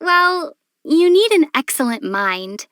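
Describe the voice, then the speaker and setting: Game heroine: young traveler, high-pitched, measured and patient with a gently dry wit. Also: female voice, big pitch swings, heavy background noise, heavily acted. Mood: instructive. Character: young female adventurer, patient, thoughtful, dryly amused. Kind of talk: video game character voice acting.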